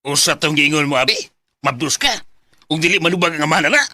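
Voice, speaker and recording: A man's voice: a little high, fast, soft background music. An actor playing a stern, sarcastic middle-aged father in a Bisaya radio drama, scolding and threatening. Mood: outraged. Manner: sharp, scolding.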